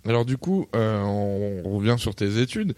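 A man's voice: on the low side, expressive, a little slow, darkish tone, no room sound. A man interviewing a teacher for a school show, reading set questions.

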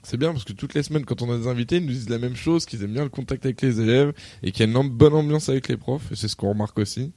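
A man's voice: medium pitch, racing, hardly any pauses, mellow, no room sound. A man interviewing a teacher for a school show, reading set questions.

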